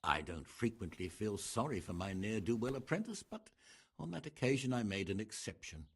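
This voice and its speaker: A man's voice: lowish voice, expressive, normal speed, husky. An actor playing a pompous, sardonic medieval master craftsman in a game, speaking in wordy, arch phrases. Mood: sardonic, exasperated. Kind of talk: scripted game dialogue acting.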